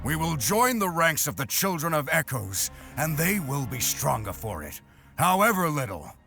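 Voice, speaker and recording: Gravelly, resolute war leader. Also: male voice, on the high side, very animated, bright, forceful tone, faint music behind, heavily acted. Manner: formal, measured, grave. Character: resolute, sombre male war leader rallying warriors. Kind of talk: video game character voice acting.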